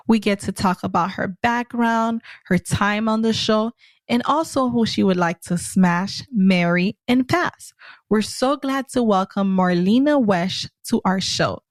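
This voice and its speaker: A woman co-hosting a podcast who introduces the guest and asks casual questions. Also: mid-range voice. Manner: warm, affirming, many backchannels. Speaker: podcast co-host.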